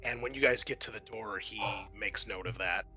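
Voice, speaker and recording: A man's voice: lowish voice, normal speed, music in the background. A man narrating a tabletop roleplaying game as game master in a hesitant, conversational way.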